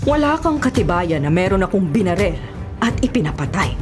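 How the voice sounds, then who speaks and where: A woman's voice: medium pitch, big pitch swings, fairly quick, music in the background, close to an everyday voice. An actress playing a cunning, arrogant businesswoman in a Filipino radio drama, haughty and dismissive. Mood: haughty scorn.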